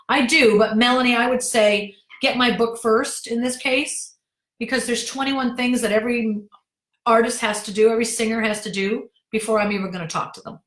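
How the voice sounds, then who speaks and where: A middle-aged woman coach gives blunt, no-nonsense advice to viewers in a casual live Q&A. Her voice sits at a medium pitch, and she talks in a roomy space with noticeable echo. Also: fairly bright tone. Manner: direct, brisk, reads out viewer comments. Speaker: acting career coach. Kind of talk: live Q&A answering viewer questions.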